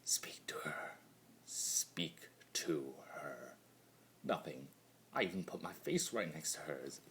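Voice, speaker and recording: A man's voice: rumbling low, lively intonation, slow, a bit bright, whispered, voice pushed down, full character voice. A man voicing a mad, immortal chaos spirit in a theatrical dramatic monologue, torn between glee and brooding. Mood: hushed brooding. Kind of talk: dramatic fan-fiction monologue reading.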